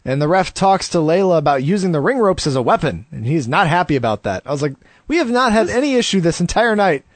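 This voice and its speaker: A man's voice: on the high side, very animated, quick pace, few pauses, dry recording. A man co-hosting a podcast, giving a play-by-play recap of a wrestling match in casual chat. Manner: play-by-play recapping, laughs easily. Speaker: podcast co-host.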